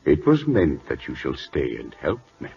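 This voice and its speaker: An actor playing a grave, loyal Siamese royal minister in an old radio drama, speaking solemn, clipped, formal broken English. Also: male voice, rumbling low, wildly swooping pitch, moderate pace, vocal fry, a little mellow, heavily acted. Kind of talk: 1940s radio drama acting. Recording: dull, noisy old radio recording.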